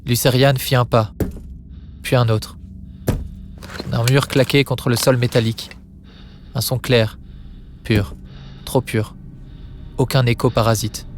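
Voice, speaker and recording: A man's voice: medium pitch, level tone, measured pace, long pauses, background noise. A man narrating dramatic science-fiction audio fiction in a solemn, suspenseful manner, in short weighted phrases. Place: studio. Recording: studio narration over music bed. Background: music.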